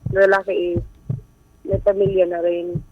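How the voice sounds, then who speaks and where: A woman's voice: medium pitch, flat delivery, measured pace, muffled recording, slight background noise. A woman calling in to a radio advice show, confiding about her personal life in a hesitant, casual way.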